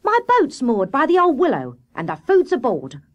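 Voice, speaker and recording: A woman's voice: high-pitched, huge pitch swings, normal speed, highs cut off, pitched above the performer's own voice. A woman voicing a fussy male water rat in a children's story, speaking politely but firmly. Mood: friendly.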